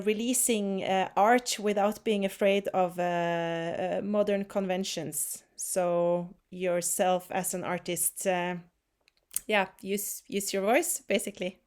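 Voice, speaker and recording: A woman musician from Norway, whose first language is Norwegian, answering interview questions in a relaxed way, often stopping to hunt for words. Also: medium pitch, slow, smooth. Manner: searches for words, many restarts and fillers. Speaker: folk musician.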